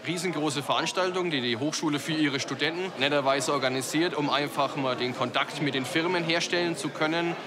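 A man's voice: a little high, a little fast, few pauses, crowd noise. A young man who is a student, giving an interview statement. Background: prominent music.

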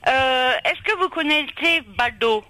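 A woman's voice: high voice, unhurried, crowd noise. A woman calling in to a radio show, eager and chatty. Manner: eager, chatty, keeps to her own topic. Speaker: radio caller. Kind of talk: call-in chat.

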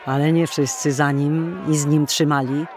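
A man narrating an old folk tale as a dramatic storyteller in a theatrical, deliberate manner. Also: a little high, little pitch movement, a little hiss or hum.

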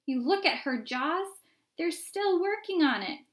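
A woman voicing excited, gossipy storybook onlookers shouting in a crowd, with emphatic exclaiming. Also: very high-pitched, a little slow, pitched above the performer's own voice. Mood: excited gossip. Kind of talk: storybook character voices.

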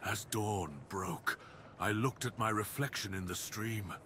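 A man playing a brooding, cursed dire wolf master in an ASMR roleplay, speaking in a solemn, deliberate, theatrical way with formal wording. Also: fairly low pitch, sharp tone, a little hiss or hum. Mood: haunted, grave. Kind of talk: ASMR roleplay, dramatic monologue.

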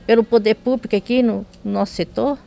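A Brazilian woman, a local resident, speaking outdoors into a reporter's handheld mic, halting and repeating herself as she complains. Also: fairly high pitch, steady tone, creaky, warm tone. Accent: Brazilian Portuguese. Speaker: resident at a protest. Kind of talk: street interview. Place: outdoors at a health post door.

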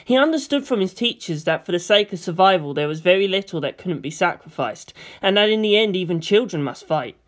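A woman's voice: fairly low pitch, animated, a little fast, hardly any pauses. A woman reading scripted fiction as an audiobook narrator.

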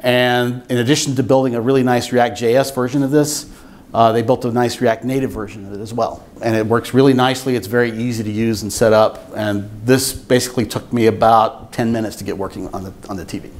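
An older man giving an informal technical presentation at a meetup, explaining things in a measured way. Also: mid-range voice, fairly even intonation, a little hiss or hum. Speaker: software engineer giving a talk. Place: meetup room with seated audience, indoors.

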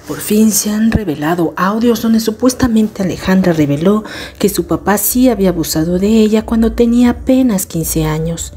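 A woman's voice: medium pitch, moderate pace, dark tone, slight background noise. A woman reading scripted celebrity gossip news as a narrator.

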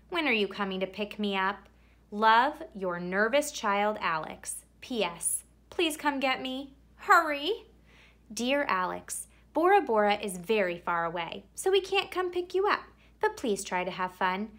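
A woman reading a children's picture book aloud expressively, with theatrical emphasis and drawn-out words. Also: a little high, very animated, a bit bright. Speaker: read-aloud storyteller.

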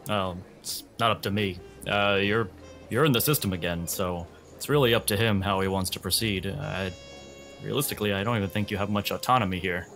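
A man's voice: fairly low pitch, brisk, fairly bright tone, soft background music. A man playing a detached, bureaucratic escort in a tabletop roleplay, speaking hesitantly and matter-of-factly. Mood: detached, official. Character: detached, bureaucratic male escort handling a patient transfer.

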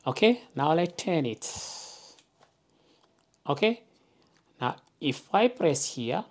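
A woman's voice: low voice, big pitch swings, takes it slowly. A woman presenting a hands-on tutorial, explaining simply step by step and often checking in with an okay. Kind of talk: hands-on instructional narration. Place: indoors, at a wooden work table.

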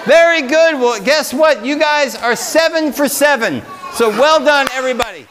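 A middle-aged man hosting a children's music guessing game at a library, calling out loudly and encouragingly like a game-show host. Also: very high voice, expressive, moderate pace. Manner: loud, projecting, encouraging game-show-style calls. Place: large carpeted library meeting room. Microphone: handheld microphone, filmed from far camera. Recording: noisy room recording, keyboard music, children clamoring. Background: loud keyboard music and crowd of children.

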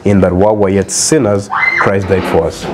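A man's voice: deep voice, level tone. Kenyan man preaching on a doorstep. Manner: earnest, reads Bible verses slowly. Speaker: street evangelist. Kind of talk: doorstep soulwinning conversation. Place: outdoor building entrance. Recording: noisy outdoor ambience.

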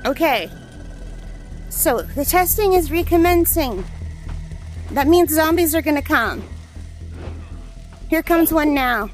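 A woman giving casual, excitable, self-deprecating commentary while playing a game at home. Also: high-pitched, slow, long pauses. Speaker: gamer, channel host. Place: indoors at home, playing in a headset. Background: faint game music.

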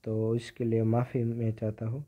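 Teenage male, casual gaming commentary, monotone delivery, narrow lo-fi phone sound. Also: fairly low pitch, normal speed. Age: teenager, about 15. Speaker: gaming video creator. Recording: dull, lo-fi phone-like audio.

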